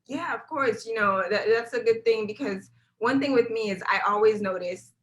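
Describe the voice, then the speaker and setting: A woman's voice: a little high, normal speed, dull audio. A woman from South Florida, a guest on an interview podcast, talking energetically and conversationally, often repeating words as she thinks. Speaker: guest, brand videographer. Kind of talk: casual interview chat.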